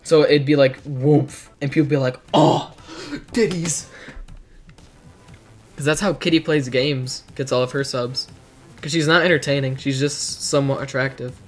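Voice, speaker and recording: Hoarse teenage streamer. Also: male voice, mid-range voice, fairly even intonation, normal speed, soft background music. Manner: rambling, joking, reacts to chat. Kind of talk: casual livestream chat. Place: dim bedroom.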